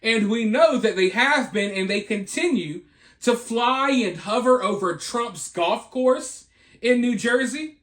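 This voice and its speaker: Man, high voice, opinionated commentator, emphatic delivery, repetitive phrasing, rhetorical questions. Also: lively intonation, a little slow, fairly bright tone. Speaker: video host and commentator. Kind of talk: opinionated news commentary to camera.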